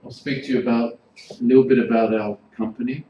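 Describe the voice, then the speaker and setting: Man from Greece, 40s, casual hotel-trainer delivery, fillers; boomy room, background noise. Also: steady tone, quick pace, mellow. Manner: chatty, informal, filler-heavy. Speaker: hotel general manager giving a workshop. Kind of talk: relaxed presentation to a class. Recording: roomy, noisy room recording.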